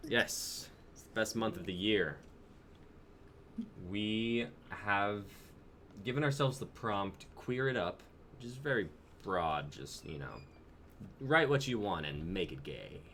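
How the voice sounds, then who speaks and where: A man's voice: fairly low pitch, lively intonation, takes it slowly, pauses often, fairly bright tone. A man in his twenties casually hosting a livestream show. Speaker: host of a live-streamed reading show. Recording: clear background noise.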